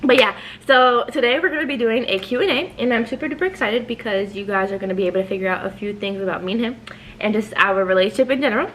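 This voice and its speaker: A woman's voice: fairly high pitch, fast talker, a bit bright, slight background noise, poor audio. A woman in her twenties from New York hosting a casual couples Q&A, chatty and playful, swearing freely. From New York, raised in New Jersey. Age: 20s. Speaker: channel host.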